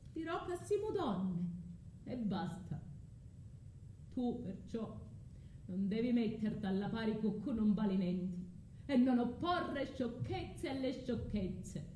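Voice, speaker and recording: High-voiced, bitter scorned southern Italian wife. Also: female voice, mellow. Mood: feigned contrition. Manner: dramatic, ironic, with dialect words. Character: bitter, scorned exiled wife and mother, sarcastic. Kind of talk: theatrical radio drama monologue. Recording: roomy echo, low rumbling noise.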